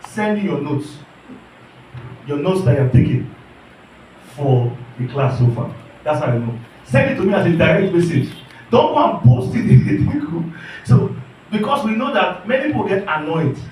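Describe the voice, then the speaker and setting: A man's voice: fairly high pitch, very animated, normal speed, darkish tone, a little hiss or hum. A man teaching an online class, earnest and repetitive, often checking in with his listeners. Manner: earnest, repeats phrases, asks the class questions. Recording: echoey room, voice from far off.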